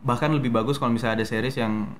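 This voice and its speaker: A young man from Medan with a softened Medan Indonesian accent, a guest actor telling his story in a relaxed, hesitant way with many fillers. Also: medium pitch, very fast, a little hiss or hum. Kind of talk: casual interview talk.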